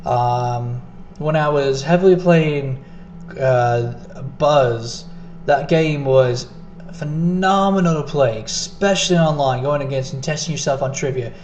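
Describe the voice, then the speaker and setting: A man casually talking to the camera in a vlog, rambling with many fillers and self-corrections, his voice getting hoarse. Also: mid-range voice, a little slow, a little mellow, lo-fi sound, a little hiss or hum. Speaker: gaming video host. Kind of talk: casual unscripted vlog talk.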